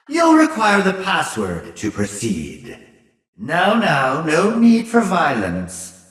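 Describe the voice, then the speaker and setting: An arrogant, aging mad scientist, a sinister video game villain. His voice sits a little high and swoops wildly as he savors each pompous, mock-courteous phrase. It sounds roomy, as if he stands back from the mic. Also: bright, forceful tone, far from the mic, full character voice. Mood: mock-polite, gloating, then slyly taunting. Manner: pompous, mock-polite, drawn-out theatrical phrasing. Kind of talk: theatrical video game voice acting.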